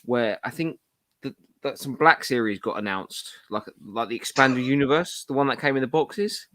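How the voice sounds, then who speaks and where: A man's voice: medium pitch, normal speed, bright, forceful tone, no echo. A man in a casual, jokey group chat about his hobby, talking as a panelist on a podcast. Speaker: podcast panelist and toy collector.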